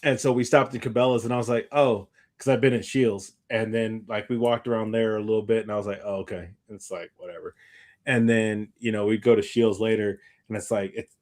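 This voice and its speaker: A tired man hosting a podcast, chatting casually in a rambling way and often backtracking mid-sentence. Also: lowish voice. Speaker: podcast host. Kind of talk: casual chat.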